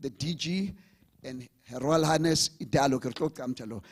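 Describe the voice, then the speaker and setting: A man's voice: mid-range voice, normal speed. A man hosting a live event, rallying the audience and switching languages. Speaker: event host. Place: conference hall with audience.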